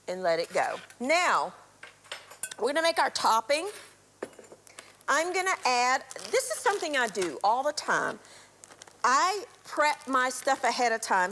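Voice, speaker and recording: A middle-aged woman, raspy from a cold, chattily walking viewers through a recipe on a live TV cooking show. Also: medium pitch, fairly bright tone, a little hiss or hum. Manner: chatty instructional, throat clearing. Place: TV studio kitchen.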